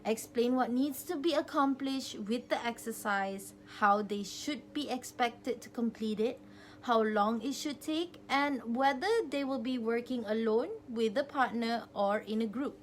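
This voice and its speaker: A woman's voice: a little high, unhurried, a little hiss or hum, rough recording. A young adult woman giving an instructional student presentation, explaining things step by step from loosely followed notes. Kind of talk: semi-scripted instructional presentation.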